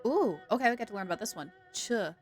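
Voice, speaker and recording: A woman live streamer giving casual, playful, animated commentary on a game. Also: fairly high pitch, fast talker. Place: indoors at home. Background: faint game music.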